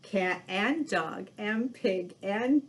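A middle-aged woman hosting a storytime for young children, reading aloud in an animated, drawn-out way. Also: medium pitch. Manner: animated, drawn-out delivery with sound words and gasps. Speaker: children's storytime host dressed as Mrs. Claus. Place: living room indoors by a fireplace. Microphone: webcam at arm's length or further.

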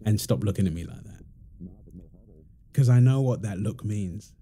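A man playing a playful, affectionate young father in an intimate roleplay audio, chatting casually and softly as he teases. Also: on the low side, moderate pace, a little hiss or hum, lightly acted. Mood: flustered, amused. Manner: teasing, soft-spoken, chuckling, informal.

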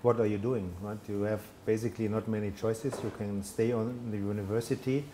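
A man, his voice low and mellow. He answers interview questions in a hesitant, self-deprecating way, full of fillers and restarts. A faint hiss sits under the studio recording. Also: level tone, a little fast. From Germany. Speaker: guest, telecom executive. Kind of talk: conversational interview. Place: studio set with brick wall, indoors.

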